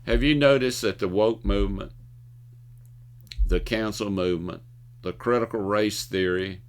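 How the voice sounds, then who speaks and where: A man's voice: fairly low pitch, unhurried. An older man giving scripted, opinionated commentary in a folksy way, now and then stumbling over words as he reads. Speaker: host of a video commentary show. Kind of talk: scripted commentary with jokes and anecdotes.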